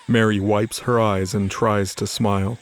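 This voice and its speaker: A man's voice: on the low side, little pitch movement, measured pace. A man narrating scripted fiction as the storyteller of an audio drama. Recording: faint music under the voice. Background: music.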